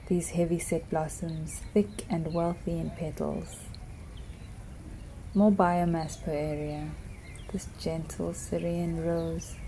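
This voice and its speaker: A woman reads in a slow, hushed, poetic voice with a very dark, mellow tone. Her pitch sits fairly low and barely moves, and now and then she slips into a whisper. A faint hiss runs under the recording. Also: flat delivery. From South Africa. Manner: soft, hushed, drifting into whispers. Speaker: narrator.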